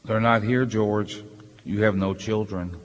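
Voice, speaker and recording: An actor playing a gentle, earnest old guardian angel, patiently explaining in a stage radio play. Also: male voice, lowish voice, steady tone, measured pace, close to an everyday voice. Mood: grave. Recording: reverberant hall stage recording.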